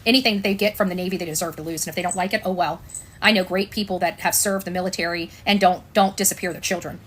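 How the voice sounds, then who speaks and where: A woman's voice: fairly low pitch, steady tone, fast talker, a bit bright, slight background noise. An American woman giving informal, opinionated livestream commentary in a blunt, sarcastic way, repeating phrases for emphasis. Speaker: online commentator and show host.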